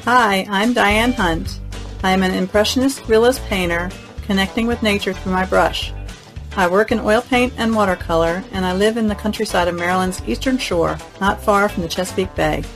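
A woman's voice: mid-range voice, normal speed, soft background music. A woman chatting casually as a panelist on a podcast.

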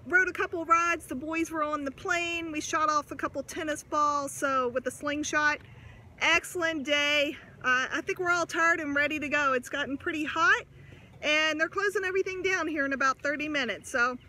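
A woman's voice: sits high, normal speed, sharp tone. A woman in her forties vlogging to a phone at arm's length on a sunny farm, talking in a casual, upbeat way. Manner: chatty, upbeat, encouraging to her kids. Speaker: family vlogger. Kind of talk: casual vlog talk to camera. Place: outdoors on a busy farm in sunshine. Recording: outdoor crowd and noise behind.

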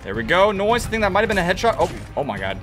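A young man giving excited gameplay commentary from his home setup on a headset, his voice cracking and hoarse as he swings between mutters and shouts. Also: sits high, racing, soft background music. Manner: hyped, exclamatory, jumps between mutters and shouts. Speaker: gaming YouTuber. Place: home gaming setup, indoors.

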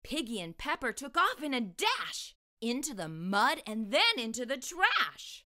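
A middle-aged woman reading aloud to young children as a storytime host, with expressive, deliberate delivery. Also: sits high. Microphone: lapel mic.

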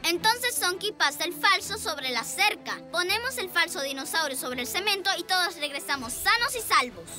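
A woman's voice: extremely high pitch, expressive, moderate pace, few pauses. A woman voicing an eager, confident child who leads a rescue team in a children's cartoon dub, instructing with plenty of expression. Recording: loud music behind the voice.

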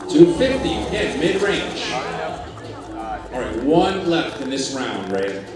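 A man hosting a game show on a cruise ship stage, animated and jokey as he calls out the amounts. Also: medium pitch, slow pace. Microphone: handheld mic, far camera. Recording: echoey hall from far off, loud music.

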